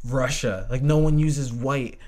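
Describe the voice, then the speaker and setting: A man's voice: medium pitch, measured pace, darkish tone. A man in casual podcast chat, rambling with constant "like" fillers and casual swearing.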